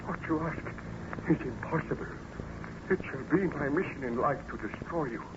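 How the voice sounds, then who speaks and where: A man's voice: fairly high pitch, moderate pace, close to an everyday voice. A man playing a tormented, guilt-ridden, defiant scientist in theatrical, emphatic old-time radio melodrama style. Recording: noisy, dull vintage radio recording.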